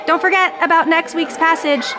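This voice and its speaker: An actress playing an earnest American volunteer reading teacher in an audio drama, instructive and sincere. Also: female voice, extremely high pitch, steady tone, normal speed, over music. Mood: encouraging.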